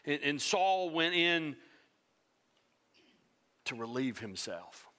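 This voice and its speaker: A middle-aged man preaching a sermon from a church stage, animated and swinging between loud emphasis and hushed tones. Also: fairly high pitch, takes it slowly. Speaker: pastor.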